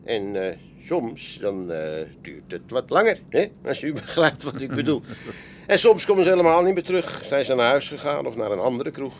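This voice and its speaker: An actor playing a chatty, unbothered Amsterdam café owner in a radio play, colloquial and knowing, with hesitant asides. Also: male voice, medium pitch, very animated, moderate pace, a little hiss or hum. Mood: explaining. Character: male Amsterdam pub landlord, streetwise, chatty, unbothered. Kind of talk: Dutch radio play acting.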